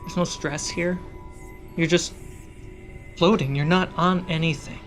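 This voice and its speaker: A game master sets tabletop fantasy scenes in a slow, measured voice. The phrases come short and suspenseful, building atmosphere, with soft music underneath. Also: medium pitch, fairly even intonation. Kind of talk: dramatic tabletop game narration.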